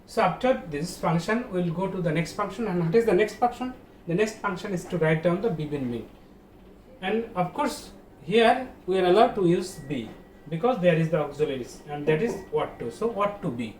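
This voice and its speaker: A man's voice: high-pitched, animated, normal speed. A male school teacher with a Bangladeshi accent gives an online grammar lesson in a didactic, repetitive way, asking questions and answering them himself. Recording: roomy echo, distant mic, faint noise.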